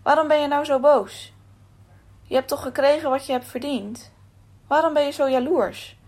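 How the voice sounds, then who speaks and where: Woman as a calm male boss, patient, reasoning, light voice, storybook reading. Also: high-pitched, normal speed, pitched above the performer's own voice, close to an everyday voice.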